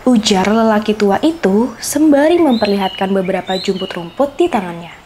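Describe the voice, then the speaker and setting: A woman's voice: mid-range voice, expressive, moderate pace, a little mellow, a little hiss or hum. A woman telling a scripted children's story, expressively addressing her young listeners. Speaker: storyteller, host of a children's folktale show. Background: faint music.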